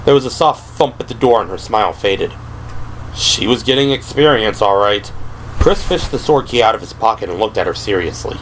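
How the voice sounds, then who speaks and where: A man's voice: on the low side, expressive, a little fast, a little hiss or hum, low-quality recording. A man reading scripted third-person narration for an audio drama. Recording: music behind the voice.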